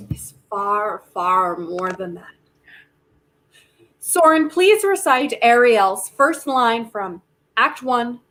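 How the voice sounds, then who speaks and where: An actress playing a defiant, stubborn inventor in a staged play reading, insistent and full of dramatic pauses. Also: female voice, fairly high pitch, fairly bright tone, low-quality recording. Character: defiant, grieving inventor bargaining stubbornly with Death.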